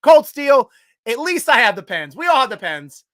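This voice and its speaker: A man hosting a live sports show, reading out viewer comments in a loose, joking way, with loud shout-outs and low mutters. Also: very animated, moderate pace, no room sound. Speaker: host.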